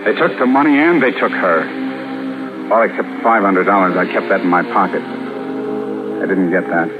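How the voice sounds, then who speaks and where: Man acting a low-voiced, troubled husband in radio suspense. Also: normal speed, natural voice. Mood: defensive. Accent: American. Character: troubled husband, a juror, narrating his own crime tale. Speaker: radio drama actor and narrator. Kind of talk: 1950s radio suspense acting with first-person narration. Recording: dull old radio recording with loud music.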